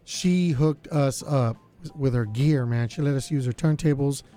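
Laid-back man DJ, slightly raspy. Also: mid-range voice, measured pace, dark tone, soft background music. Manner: laid-back, lots of fillers. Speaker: radio DJ host. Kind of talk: casual radio show chat.

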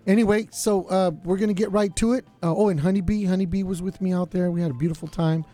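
A man hosting a college radio show, his voice slightly raspy, chatting casually with lots of fillers. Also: high-pitched, steady tone, fast, mellow, soft background music. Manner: laid-back, lots of fillers. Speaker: radio DJ host.

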